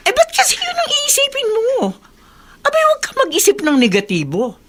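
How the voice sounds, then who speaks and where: A woman's voice: very high voice, wildly swooping pitch, normal speed, fairly bright tone, heavily acted. An actress playing a chatty, money-minded neighbour woman in a radio soap drama, coaxing and persuasive. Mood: coaxing, upbeat.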